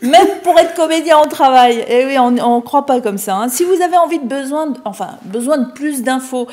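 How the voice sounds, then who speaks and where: A woman hosting a TV interview, encouraging her guest with steady murmurs of agreement. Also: high voice, a little fast. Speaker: TV host, interviewer.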